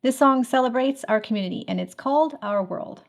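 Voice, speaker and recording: A woman's voice: high voice, expressive, brisk, gravelly. A woman hosting an event, giving a casual live introduction. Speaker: event host.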